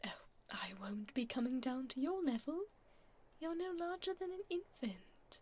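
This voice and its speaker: A woman voicing a haughty but tender vampire duchess in a soft, whispered ASMR roleplay, with formal, aristocratic phrasing. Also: sits high, very animated, measured pace, full character voice. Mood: haughty, wary.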